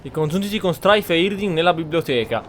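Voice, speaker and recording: A man's voice: a little high, lively intonation, fast, a little hiss or hum. A man giving casual gameplay commentary as a player, with a sarcastic, grumbling way of talking. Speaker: gaming YouTuber, player.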